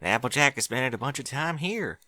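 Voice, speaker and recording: A man's voice: mid-range voice, animated, a little fast, bright, forceful tone, dry recording, clean studio sound, pitched above the performer's own voice. A man voicing a spunky young filly pony girl in a fan fiction reading, with an eager, indignant way of talking. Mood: wistful.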